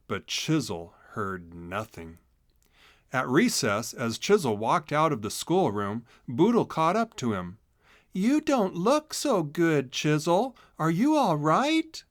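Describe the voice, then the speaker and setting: A man's voice: medium pitch, dry recording, studio quality. A man narrating a children's story in a scripted read-along style.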